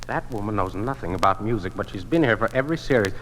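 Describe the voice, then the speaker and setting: Museum department head, slightly low pitch, wry, informal, radio drama, faintly noisy vintage recording. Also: male voice, lively intonation, fast talker, a bit bright. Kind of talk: mid-century radio drama acting. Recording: old radio recording with background noise.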